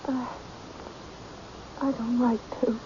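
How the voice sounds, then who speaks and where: A timid, anxious woman in an old radio mystery. Her voice is high and halting, doubling back on words as she hesitates. The sound is muffled and vintage. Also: flat delivery. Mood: uneasy, reluctant. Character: anxious, naive, hesitant woman, easily dominated. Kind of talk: radio mystery drama acting. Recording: dull old radio recording, faint noise.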